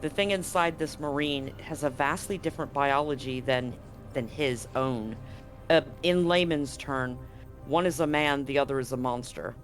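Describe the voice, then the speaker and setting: A man's voice: medium pitch, moderate pace, soft background music, natural voice. A man playing an anxious, grave doctor in a tabletop game, explaining things clinically and hesitantly. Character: anxious, grave male doctor at a remote medical facility.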